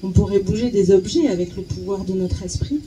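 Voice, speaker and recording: A woman interprets live in a very mellow, dark voice. She is hesitant, repeating small words while she looks for the right phrasing. There is steady noise behind her. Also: mid-range voice, a little fast, poor audio. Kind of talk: consecutive interpreting. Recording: clear steady background noise.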